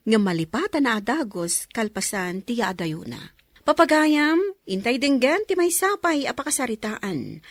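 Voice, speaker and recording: A woman's voice: medium pitch, no echo. A woman hosting a radio drama program, reading scripted devotional narration and credits. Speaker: radio drama host and announcer.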